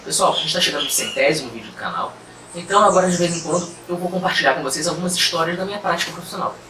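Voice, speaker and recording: A man from Rio, a lawyer recounting a case in an easygoing, chatty way, pausing often on fillers. He sits back from the mic in a reverberant room, with a steady noise bed audible behind his voice. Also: on the high side. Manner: relaxed, conversational, frequent fillers and asides. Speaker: lawyer telling a case story. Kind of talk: casual storytelling to camera. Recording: roomy, distant mic, clear background noise.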